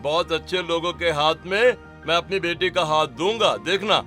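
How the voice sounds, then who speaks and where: Bright-voiced doting father, acted by a man. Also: on the high side, normal speed, faint music behind. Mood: indulgent, reassuring. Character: doting, protective older father of the family. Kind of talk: Hindi family cartoon story acting.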